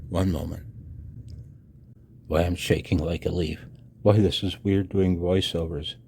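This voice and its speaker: A man in his sixties giving a personal voice-over announcement, halting and reflective, with a husky low voice. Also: steady tone, mellow, slight background noise. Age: 60s. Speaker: amateur radio hobbyist and video narrator. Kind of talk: personal voice-over announcement, partly read from own text.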